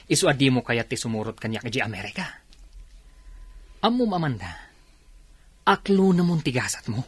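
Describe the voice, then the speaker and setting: Husky, dramatic controlling husband played by a man. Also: medium pitch, fairly bright tone, no room sound. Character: controlling, suspicious husband of an unhappy woman. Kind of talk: radio drama acting in Ilocano.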